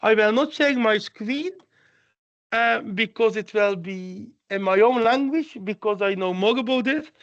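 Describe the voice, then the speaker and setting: Guy on a video call, kinda high voice, stops and restarts a lot, dull sound. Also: a bit bright. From Belgium. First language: Flemish Dutch. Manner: halting, restarts phrases. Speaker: guest speaker. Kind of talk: casual webinar talk.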